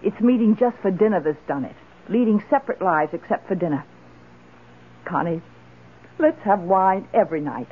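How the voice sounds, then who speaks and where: A woman's voice: mid-range voice, expressive, normal speed, pauses often, slightly rough. An actress playing a wealthy, generous, effusive lady of the house in an old-time radio drama, speaking in a theatrical, exclamatory way. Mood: merry, tipsy. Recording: muffled lo-fi radio drama recording.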